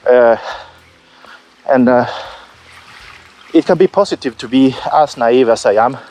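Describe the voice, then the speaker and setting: A man speaking slowly and haltingly, stopping often to restart his sentences. He sounds candid and hard on himself, now and then swearing as he thinks aloud in a loose, unscripted monologue. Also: medium pitch, darkish tone. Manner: hesitant, halting, many fillers and restarts; candid and self-critical, with occasional swearing. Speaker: solo musician vlogging his album process. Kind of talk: unscripted reflective vlog.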